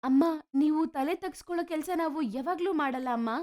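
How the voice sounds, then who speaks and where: Woman doing a young village girl, high voice, frank and warm-hearted, storybook dialogue. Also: fairly quick, close to an everyday voice. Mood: pleading. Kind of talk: animated moral story dialogue.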